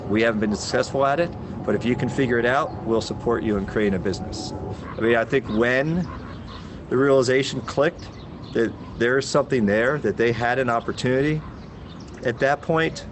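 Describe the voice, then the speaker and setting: A man in a documentary interview explains a problem and his offer in a measured, explanatory way. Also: medium pitch. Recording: background noise.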